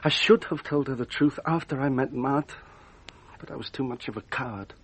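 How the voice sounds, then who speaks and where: Husky, anguished young man acted by a man. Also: mid-range voice, moderate pace, lightly acted. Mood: remorseful. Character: anguished, remorseful young man accused of murder. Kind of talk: radio drama acting.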